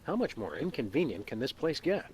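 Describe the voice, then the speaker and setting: An actor playing a calm, deadpan dog detective in a video game, talking in a dry, wry way. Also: male voice, medium pitch, brisk, slight background noise, lightly acted.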